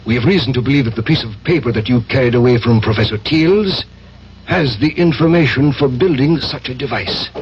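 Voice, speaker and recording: An actor playing a methodical, menacing Russian secret police agent with an authoritative manner in a 1970s radio drama. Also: male voice, medium pitch, brisk, natural voice. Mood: coldly menacing. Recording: muffled, noisy old radio recording.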